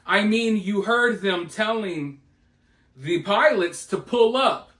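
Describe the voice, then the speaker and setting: A man's voice: high-pitched, slow pace, fairly bright tone. A man giving casual, opinionated commentary on breaking news as the host of a vlog. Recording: roomy, echoey voice recording.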